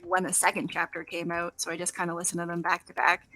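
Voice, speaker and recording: A woman's voice: fairly low pitch, completely flat delivery, fast, sharp tone, dry recording. A woman giving a casual interview while gaming, speaking informally and peppering her sentences with "like". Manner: informal, heavy use of "like". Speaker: interview guest, first-time voice actor. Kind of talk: casual chat while playing a video game.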